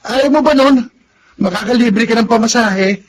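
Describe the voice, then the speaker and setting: A lovestruck, cheeky driver in a radio drama. His voice is pitched high for a man, insistent and exclamatory, with faint noise behind it. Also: normal speed, rough recording. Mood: flirtatious, eager. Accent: Filipino. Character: eager, cheeky, lovestruck male tricycle driver. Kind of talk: Filipino radio drama acting.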